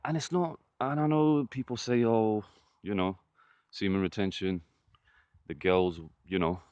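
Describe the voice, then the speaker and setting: A man with a fairly deep voice talking to the camera in a casual vlog, earnest and encouraging, often saying "listen" and "trust me". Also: steady tone, a little slow, darkish tone, smooth, no room sound, lo-fi sound. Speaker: vlogger. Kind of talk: casual motivational vlog monologue.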